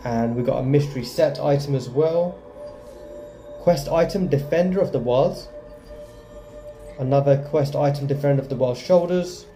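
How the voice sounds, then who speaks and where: A man's voice: medium pitch, normal speed, long pauses, mellow, faint music behind. A man hosting a gaming video with casual gameplay commentary.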